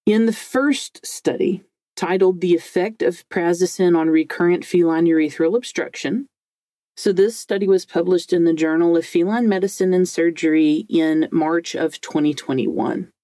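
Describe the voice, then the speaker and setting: A woman with a deep, low-range voice co-hosting a podcast in a casual, chatty, jokey way. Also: moderate pace, mellow, dry recording. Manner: informal, jokey, mildly sweary. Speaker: podcast co-host.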